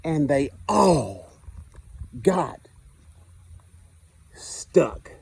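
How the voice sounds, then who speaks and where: A man's voice: fairly high pitch, big pitch swings, drawn-out words, creaky, darkish tone, breathy edge, no echo. A man, a school principal, reading a children's picture book aloud expressively, with asides to the listeners.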